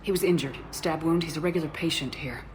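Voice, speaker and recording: A woman's voice: low voice, quick pace, darkish tone, a little hiss or hum, close to an everyday voice. A woman playing a determined military commander in a video game, clipped and earnest. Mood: urgent.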